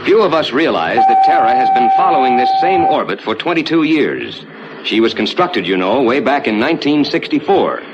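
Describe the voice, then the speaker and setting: An actor playing a calm, reassuring rocket ship captain who explains the flight to passengers in measured, courteous 1950s ride narration. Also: male voice, mid-range voice, muffled recording. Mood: composed, instructive. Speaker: spaceship captain and tour narrator. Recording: noisy vintage 1950s ride soundtrack.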